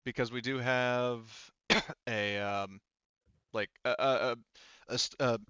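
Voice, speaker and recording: A man voicing a matter-of-fact woman who runs a space station, explaining haltingly in a tabletop game. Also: medium pitch, fairly even intonation, sharp tone, smooth, no room sound, dull audio.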